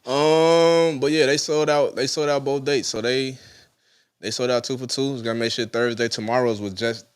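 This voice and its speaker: A young man hosting a casual live stream, his voice sitting in a middle register. He talks in loose slang, teasing his co-hosts and hyping things up loudly. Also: mid-range voice, normal speed, smooth, no room sound, studio quality. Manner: loud teasing banter, slangy and animated. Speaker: show host.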